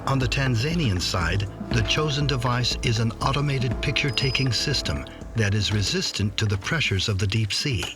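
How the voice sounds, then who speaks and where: A man narrating a nature documentary in a measured scripted delivery. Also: mid-range voice, animated. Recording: music bed behind voice-over. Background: music.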